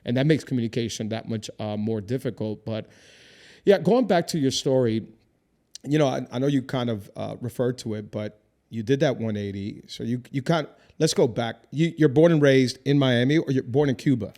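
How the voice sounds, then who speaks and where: Reflective pastor hosting an interview. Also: male voice, medium pitch, normal speed. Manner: warm, reflective, often affirms with "wow". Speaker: lead pastor and podcast host. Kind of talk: casual interview.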